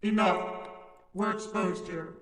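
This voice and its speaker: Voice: fairly even intonation, slow. An actor voicing a stern, menacing cyborg game character in clipped, commanding lines, processed with robotic filters and echo. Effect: cyborg voice filters, pitch shift, reverb and echo. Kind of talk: looped dramatic character line for effects demo. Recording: heavily processed, long reverb, layered echoes.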